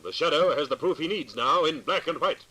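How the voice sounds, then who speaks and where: An actor playing a stern, accusing male avenger in a 1940s radio mystery, deliberate and ominous. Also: fairly high pitch, lively intonation, over music, lightly acted. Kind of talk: 1940s radio drama acting.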